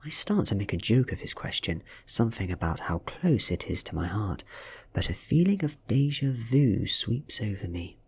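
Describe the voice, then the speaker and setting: A man with a British accent softly narrating a story in ASMR style, breathy and often near a whisper. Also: fairly low pitch, sing-song, moderate pace. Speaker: audiobook reader.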